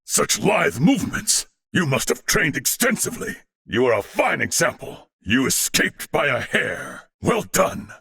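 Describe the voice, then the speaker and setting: A man voicing a courteous older alien warrior-scholar in a video game, speaking formally and eloquently with measured diction. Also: medium pitch, sing-song, creaky, pushed and bright, no room sound, full character voice. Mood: admiring. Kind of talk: video game character voice lines.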